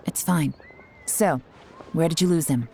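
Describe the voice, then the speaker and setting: An actress playing a cool, shrewd woman investigator in a video game, speaking with calm, measured authority. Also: low-pitched, creaky, slightly rough, heavily acted. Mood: calm, reassuring. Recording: music playing underneath.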